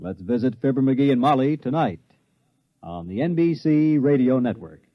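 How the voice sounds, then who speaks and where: A man's voice: mid-range voice, expressive, normal speed, no room sound. A man with an American accent reading a 1950s network radio promo as an announcer. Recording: dull dry vintage radio recording.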